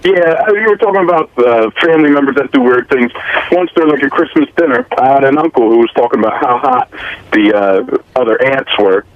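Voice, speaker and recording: A man's voice: medium pitch, fairly quick, no room sound. A man calling into a radio show, casually telling an anecdote. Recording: thin, tinny phone line.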